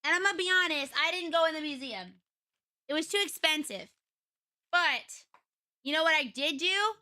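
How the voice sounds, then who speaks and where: A young woman streamer with a raspy voice, chatting casually and rambling to her viewers from home. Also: high voice, animated, normal speed, bright, forceful tone, no room sound. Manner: chatty, rambling, retells conversations.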